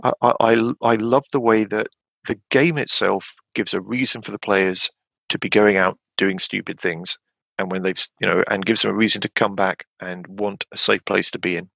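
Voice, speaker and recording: An excitable man talks in gravelly, fry-edged bursts, often stumbling over his words and jumping up in pitch when he gets keen. The sound is close and dry, with no room around it. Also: moderate pace, no room sound. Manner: enthusiastic, rambling, many restarts and rising bursts of pitch. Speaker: podcast guest. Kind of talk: enthusiastic podcast chat about tabletop games.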